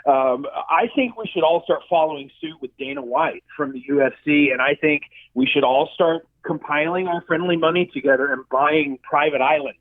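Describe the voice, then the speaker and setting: Animated man on a tinny line. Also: on the high side, moderate pace, few pauses, no echo. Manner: animated, profane storyteller. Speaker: film director and effects artist, guest. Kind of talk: casual interview chat. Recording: dry tinny phone-like line.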